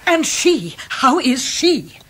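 An actress playing a brusque, imperious, eccentric older English aunt in a radio drama. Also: female voice, high voice, big pitch swings, slow, a bit bright, exaggerated voice. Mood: impatient.

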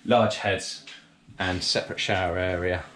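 Low-voiced man over clear background noise. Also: fairly even intonation, a little slow, a bit bright. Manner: clipped, fragmentary phrases. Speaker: presenter reviewing a sailing yacht. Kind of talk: casual walk-through review commentary.

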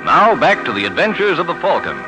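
A male announcer giving a polished, persuasive sponsor pitch and scripted narration in 1940s radio style. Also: very animated, fairly quick, loud music behind the voice, poor audio.

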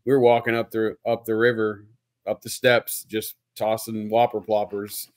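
Animated storytelling man on a video call. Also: fairly low pitch, moderate pace, no room sound. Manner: animated storyteller, hedges his opinions. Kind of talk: casual storytelling chat.

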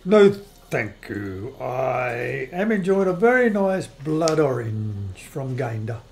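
Man playing a very slow, cheerful vampire. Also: mid-range voice, big pitch swings. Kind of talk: amateur radio play acting. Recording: noisy recording with clicks.

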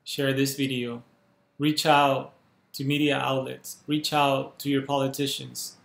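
A man in his thirties from Cuba, speaking to a webcam at home and making an urgent, earnest appeal in measured phrases. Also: mid-range voice, little pitch movement, vocal fry, fairly bright tone. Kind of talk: earnest direct-to-camera appeal. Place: small room at home, indoors. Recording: roomy echo from a bare room.

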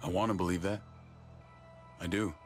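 A man's voice: low-pitched, fairly even intonation, normal speed, close to an everyday voice. A man playing a blunt, intense, grieving older brother in a cinematic video game drama. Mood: weary, stern. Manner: blunt, foul-mouthed, intense. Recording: music underneath.

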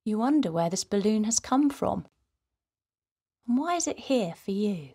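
A woman hosting a guided meditation for children, speaking in a gentle, soothing, softly breathy way. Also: mid-range voice, animated, measured pace. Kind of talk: guided relaxation narration for children.

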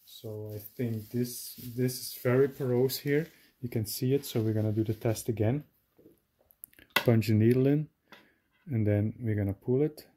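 A man giving a casual hands-on demonstration at a table, talking haltingly with many fillers and self-corrections. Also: mid-range voice, dark tone, a little hiss or hum. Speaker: DIY video maker. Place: indoors at a table.

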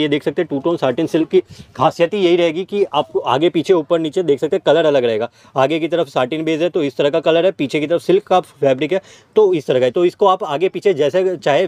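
Fast-talking man pitching fabrics. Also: darkish tone, no room sound. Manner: rapid salesman patter listing items. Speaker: fabric seller. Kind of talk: product showcase walkthrough. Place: fabric warehouse floor.

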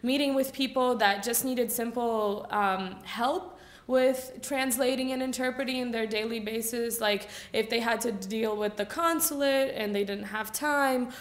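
A woman's voice: fairly high pitch, unhurried. A Brazilian woman in her twenties, a native Portuguese speaker, giving a casual symposium talk at a lectern microphone with lots of fillers. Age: 20s. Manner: casual, chatty, frequent fillers. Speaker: student speaker. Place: lecture hall at a lectern. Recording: echoey room.

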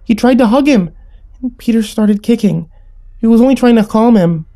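Man voicing a high-pitched pleading little girl. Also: a little fast, dark tone. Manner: pleading, insistent. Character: frightened pleading little girl, protective of her secret friend.

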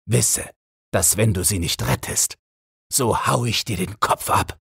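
A greedy old lord from a storybook, acted out by a man, full of threats and wailing. His voice is husky and pushed up from a low register, and the recording is close and dry. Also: measured pace, fairly bright tone, no room sound, full character voice. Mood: furious. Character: raging, greedy old male lord, fearful for his gold.

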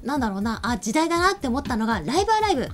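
A woman's voice: sits high, big pitch swings, rapid-fire, soft background music. A woman co-hosting a casual talk show discussion, speaking into a desk microphone in a small studio. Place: small indoor streaming studio. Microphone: desk microphone on a stand.

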